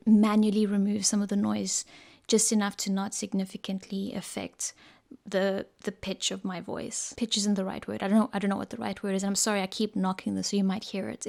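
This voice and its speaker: A woman talks through a mic review at her desk, chatty and self-correcting as she goes, still getting over an illness. Her pitch sits mid-range but barely moves, and she stays close to the condenser mic in a small home room. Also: vocal fry, a little mellow. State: recovering from illness. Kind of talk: casual product review. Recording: mic tests with varying reverb and noise.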